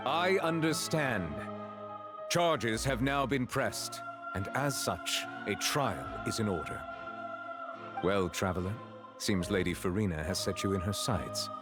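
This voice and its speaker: A man's voice: fairly low pitch, measured pace, natural voice. A man voicing a solemn, authoritative chief judge in an anime game, formal, composed and grave. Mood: grave, official. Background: background music.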